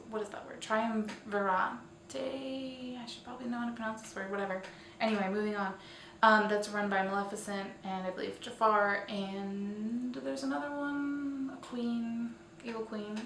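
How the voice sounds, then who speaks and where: A woman hosting a weekly online roundup, talking to viewers in a casual, chatty way with informal, self-deprecating asides. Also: medium pitch. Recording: roomy echo, distant mic, faint noise.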